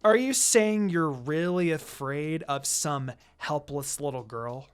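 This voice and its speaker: A reader voicing a looming, menacing teenage girl ninja with slow, teasing delivery in a story reading. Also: mid-range voice, smooth, faint music behind, pitched below the performer's own voice, close to an everyday voice. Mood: taunting.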